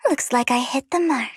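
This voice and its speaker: A woman playing an elegant, secretive, wealthy young lady in a video game, breathy and poised, with a teasing, deliberate manner. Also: high-pitched, no echo, studio quality, heavily acted. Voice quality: breathy, hushed. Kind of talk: video game character acting.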